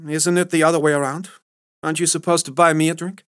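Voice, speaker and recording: Sassy bar flirt, slight Eastern European accent ; man plays her, high and forward. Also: flat delivery, quick pace, fairly bright tone, smooth, no room sound, studio quality, close to an everyday voice. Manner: playful, sassy. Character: flirty bold woman at a bar.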